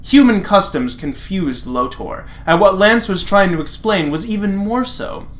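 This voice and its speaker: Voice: medium pitch, animated, measured pace, fairly bright tone. A sarcastic, exasperated reader narrating fan fiction dramatically and breaking off for mocking asides.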